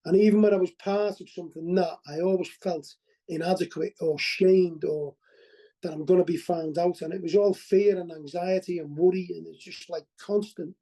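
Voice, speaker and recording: A man's voice: high-pitched, steady tone, moderate pace, mellow, low-quality recording. A middle-aged man with a Liverpool accent giving a candid, rambling testimony at a recovery meeting over a video call, often asking "you know what I mean?" and restarting his words. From Liverpool, England.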